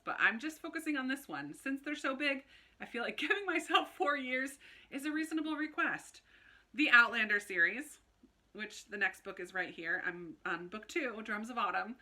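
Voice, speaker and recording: A woman's voice: medium pitch, pushed and bright. A woman hosting a casual book vlog, chatting expressively to her viewers and often correcting herself as she goes. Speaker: book vlogger, channel host.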